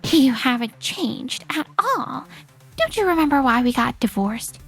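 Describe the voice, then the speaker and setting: Woman acting a high-voiced, scolding exasperated mother. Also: very animated, a little slow, fairly bright tone, slightly rough, faint music behind, exaggerated voice. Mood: indignant. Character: stern, exasperated divorced mother, scolding and resolute. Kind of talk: manga dub dialogue.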